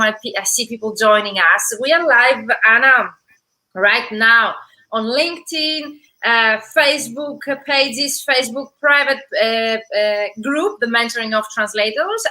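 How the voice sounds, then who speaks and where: A woman's voice: fairly high pitch, pushed and bright, rough recording. A woman hosting a live-stream interview over a video call, chatty and welcoming, greeting viewers by name. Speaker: host.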